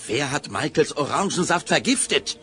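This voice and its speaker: An actor playing a stern, formal gentlemanly boss with an authoritative manner, in a 1980s German radio drama. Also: male voice, on the high side, lively intonation, moderate pace, faint music behind. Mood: indignant. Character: stern, gentlemanly male boss, patient until indignant.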